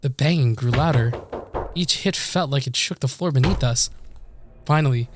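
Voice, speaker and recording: A man's voice: medium pitch, moderate pace, noisy background. A man live-streaming a game, reading story text aloud with stumbles, corrections and chuckles between his own comments. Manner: chuckles, stumbles over words and corrects himself. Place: streaming setup indoors. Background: game music.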